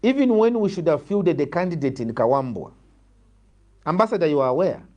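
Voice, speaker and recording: A man's voice: on the high side, lively intonation, brisk, dark tone, lo-fi sound. A man with a Zambian accent giving argumentative political answers, emphatic and repeating phrases for stress. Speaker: opposition party politician, guest.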